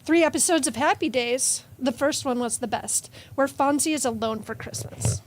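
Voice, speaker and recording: High-voiced woman in light conversation. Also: normal speed, slight background noise.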